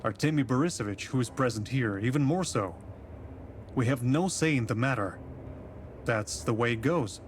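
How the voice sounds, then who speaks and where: A composed detective from a period mystery game, voiced by a man, speaks in a measured, courteous and formal way. His voice sits at a middling pitch over faint background noise. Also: natural voice. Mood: polite, formal. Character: composed male police detective from the capital in tsarist Russia. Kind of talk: visual novel voice acting.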